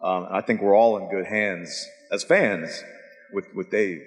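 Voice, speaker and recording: A man's voice: deep voice, a little slow. A man voice actor on a convention panel, answering thoughtfully with frequent hesitations. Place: large convention hall. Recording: echoey convention hall.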